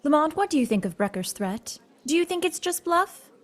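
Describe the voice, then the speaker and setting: A woman's voice: high-pitched, very animated, fairly quick. An actress playing an inquisitive, concerned lady companion in an old-time radio drama.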